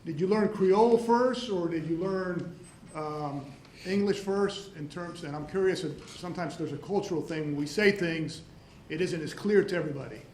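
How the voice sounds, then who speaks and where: A man's voice: on the high side, moderate pace, darkish tone. A man whose first language is Spanish, a prosecutor questioning a witness in a loose, rambling, self-deprecating way. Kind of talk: courtroom redirect examination. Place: courtroom. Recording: echoey courtroom, faint hiss.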